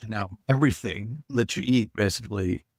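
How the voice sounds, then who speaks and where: A man's voice: lowish voice, moderate pace, dry recording, studio quality. A middle-aged man from Israel, talking as a podcast guest with frequent hesitations and filler sounds. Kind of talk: casual interview talk.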